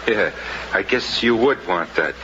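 A man's voice: on the low side, lively intonation, a little slow, sharp tone, highs cut off, slight background noise. An actor playing a laconic gunman in old-time radio drama, on an old recording. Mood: wry, resigned.

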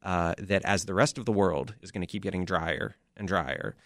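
Low-voiced man explaining conversationally. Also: moderate pace, gravelly, fairly bright tone, no echo, studio quality. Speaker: interview guest, audio drama producer.

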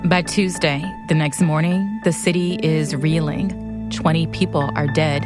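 A female narrator tells history from a script with dramatic weight. Her voice is low for a woman and sits over a loud bed of music. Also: steady tone, a little slow.

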